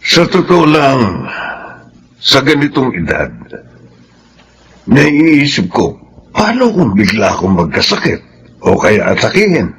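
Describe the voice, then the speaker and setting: A man's voice: mid-range voice, huge pitch swings, slow pace, long pauses, a bit bright, breathy edge, full character voice. A male actor with a Filipino accent playing a guilt-ridden, loving middle-aged father figure in an earnest radio drama. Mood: guilty, pleading. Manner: earnest, emotional delivery. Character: guilt-ridden, loving older man posing as a boy's father.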